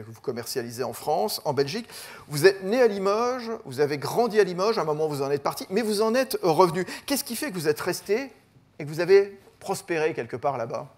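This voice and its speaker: A male host moderating a debate, asking probing questions with many fillers. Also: fairly high pitch.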